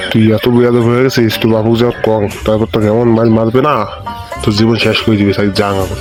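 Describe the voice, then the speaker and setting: A man's voice: on the low side, flat delivery, fast talker, over music, natural voice. A man voicing a quarrelsome, indignant village man in a comic cartoon, scolding and repeating himself. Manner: scolding, repetitive, argumentative. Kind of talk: comic cartoon dialogue in rural dialect.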